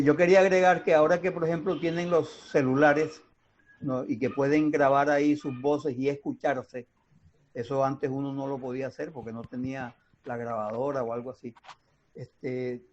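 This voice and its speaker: A man's voice: a little high, darkish tone. An older man, a teacher of radio acting, giving casual instructive advice point by point. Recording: noisy, muffled call audio.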